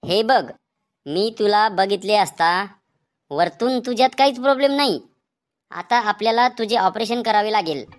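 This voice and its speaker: A man's voice: high voice, lively intonation, moderate pace, long pauses. A man voicing a rude, bragging male quack doctor in a comedy cartoon, scolding in a Vidarbha Marathi accent. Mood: bossy. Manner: brusque, scolding, boastful.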